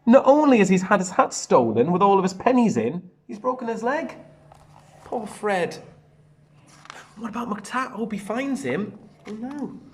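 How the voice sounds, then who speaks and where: Man, very lively pitch, expressive children's storyteller, sing-song rhymes, chatty asides. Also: a little slow, slightly rough. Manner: animated, sing-song rhyming read-aloud. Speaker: primary school teacher reading a storybook.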